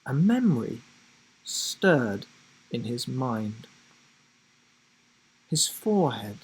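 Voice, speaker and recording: A man narrates a children's adventure storybook in an expressive, theatrical way. His pitch moves very freely and his pace is slow, with a slightly rough voice. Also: low-quality recording. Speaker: story reader. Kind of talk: dramatic scripted storybook narration.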